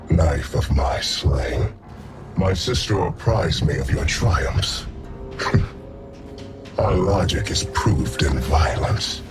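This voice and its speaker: A man's voice: low-pitched, full character voice. A man playing an ancient alien god-king in a video game, imperious and menacing, with grandiose, solemn, archaic speech. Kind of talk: video game villain acting. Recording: loud music under the voice.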